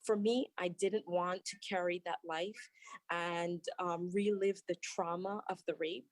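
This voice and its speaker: A young woman doctor reads earnest prepared testimony at a hearing, her voice low and steady. She stumbles and restarts now and then. The sound is dry and close, with no room echo. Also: a little slow. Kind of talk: prepared testimony, read aloud. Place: at work, remote testimony.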